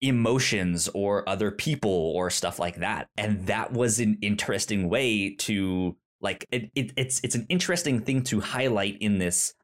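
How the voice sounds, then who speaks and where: A man with a slightly low voice talks through a film in casual podcast chat. He stumbles and repeats the first sounds of words, restarts his sentences, and gets animated as he hunts for the right word. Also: moderate pace, few pauses. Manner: heavy stutter on first sounds, many restarts. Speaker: podcast co-host.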